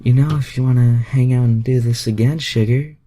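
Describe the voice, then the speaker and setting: A man's voice: mid-range voice, fairly even intonation, brisk, warm tone, voice pushed up, natural voice. A man voicing a bold, flirty woman from superhero fan fiction, with a sultry, pushy manner.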